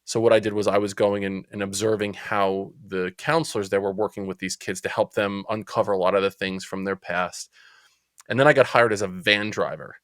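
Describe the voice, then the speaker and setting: A man in his forties with a New Jersey accent, telling stories as a podcast guest in a chatty, lively way. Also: lowish voice, a little fast, no room sound, clean studio sound. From Central New Jersey. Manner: chatty, animated storyteller. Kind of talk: casual storytelling interview.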